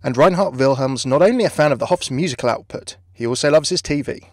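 A man's voice: mid-range voice, lively intonation, quick pace, no room sound. A man hosting a video with scripted narration, recorded as a close voice-over. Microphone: close dry voice-over microphone.

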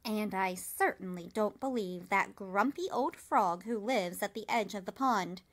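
A woman voicing a wise old female spider in a storybook, kindly, self-assured and gently reassuring. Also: mid-range voice, unhurried, natural voice. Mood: firm, warm. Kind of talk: storybook character reading.

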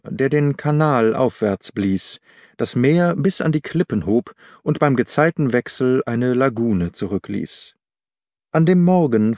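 A man reading a first-person literary story as an audiobook narrator, measured and articulate. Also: medium pitch, a little mellow, dry recording, studio quality.

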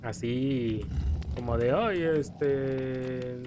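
A man's voice: mid-range voice, drawn-out words, heavy background noise. A young man with a Mexican accent chatting casually over gameplay, stammering and rambling as he tells stories. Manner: rambling, stammering storyteller who acts out scared quotes. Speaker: gameplay commentator. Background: game music.

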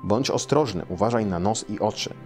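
A patient, caring mother bear from a children's storybook, read by a man. The voice is on the low side, calm and instructive, guiding a young cub. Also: moderate pace, soft background music, close to an everyday voice. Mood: gently warning.

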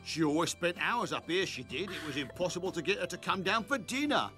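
A man's voice: high-pitched, animated, brisk, pushed and bright, soft background music. A man voicing a doting, chummy middle-aged working-class father in a cartoon, with a colloquial British accent. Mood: proud, fond. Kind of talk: cartoon character acting.

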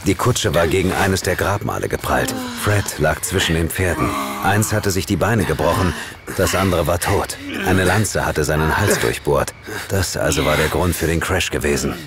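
A man's voice: low-pitched, normal speed, very noisy. An actor playing a tough, determined investigator, narrating his own story tersely in a German audio drama. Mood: grim narration. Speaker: narrator and lead character. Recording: loud dramatic music behind the voice.

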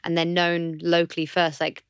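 A woman's voice: lowish voice, monotone, moderate pace, smooth, dry recording. A woman in her twenties co-hosting a podcast in casual conversation, on a desk microphone in a small studio. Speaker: podcast co-host.

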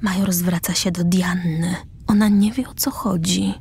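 A woman narrating a radio drama, reading stage directions in short measured phrases. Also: lowish voice, steady tone, vocal fry, darkish tone, slightly rough. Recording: music behind the voice.

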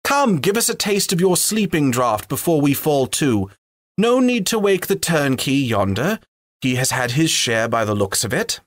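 A man's voice: on the high side, very animated, moderate pace, dry recording, pitched above the performer's own voice. A man doing merry jeering elves. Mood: teasing. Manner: jeering, jovial. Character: merry young male elves, laughing and teasing.

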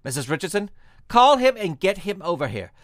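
An actor playing an earnest, plucky young amateur detective in a comic radio mystery, speaking emphatically. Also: male voice, sits high, very animated, a little fast, a bit bright, no room sound, studio quality. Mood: urgent, determined.

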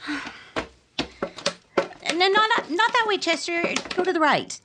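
Sweet nervous young girlfriend from old radio comedy, super high voice, slow plaintive delivery. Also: lively intonation, very noisy. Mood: worried. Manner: fretful, hesitant, plaintive. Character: anxious, sweet young woman out on a date. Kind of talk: 1950s radio comedy acting.

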